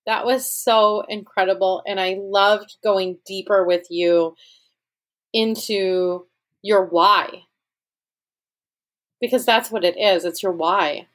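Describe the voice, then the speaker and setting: A woman's voice: medium pitch, steady tone, slow, long pauses. A woman hosting a podcast who speaks in an admiring, affirming way. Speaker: podcast host. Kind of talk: casual podcast conversation.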